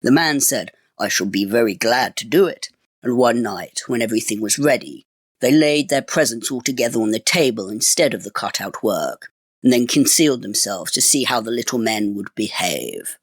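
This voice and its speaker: A man reading a fairy tale as storyteller, in expressive, measured phrases. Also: medium pitch, breathy edge, no echo. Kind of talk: scripted storybook narration.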